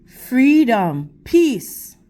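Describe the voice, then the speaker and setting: A woman reading a picture book aloud to children like a teacher, in deliberate, measured phrases. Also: a little high, sing-song, mellow. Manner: deliberate, measured phrasing with questions and praise addressed to young listeners.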